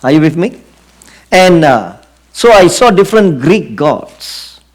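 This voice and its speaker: Give me a middle-aged Singaporean man giving an earnest sermon in a fairly high voice. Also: very animated. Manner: earnest, drawn-out emphasis with frequent fillers. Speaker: preacher.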